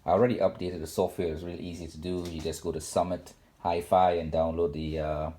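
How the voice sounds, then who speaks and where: A man giving a casual, unscripted home video walkthrough, talking haltingly with frequent ums and self-corrections. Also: low voice, level tone, warm tone. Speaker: home theater vlogger. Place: home theater room indoors.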